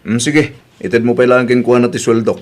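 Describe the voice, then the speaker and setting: A man's voice: medium pitch, steady tone, a little fast, natural voice. A man acting a concerned, questioning working husband in an Ilocano audio drama.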